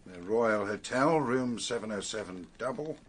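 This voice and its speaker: An actor playing a ponderous, attentive male investigator in a radio drama, speaking slowly and deliberately. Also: lowish voice, animated, a little hiss or hum, close to an everyday voice. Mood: measured, pondering.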